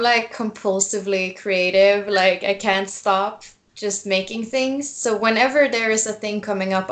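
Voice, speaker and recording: A woman, a tattoo artist chatting as a guest in a relaxed interview. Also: mid-range voice. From Sweden. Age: 20s. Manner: relaxed, laughs often, many fillers. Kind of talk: casual interview.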